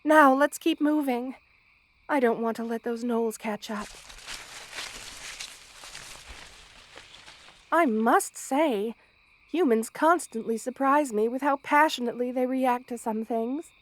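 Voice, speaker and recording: A woman playing a gentle, motherly, centuries-old spider queen in an ASMR roleplay, speaking in formal, measured, soothing phrases. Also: a little high, a little hiss or hum, lightly acted. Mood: tender, reflective. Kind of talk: ASMR roleplay, intimate scripted monologue to listener.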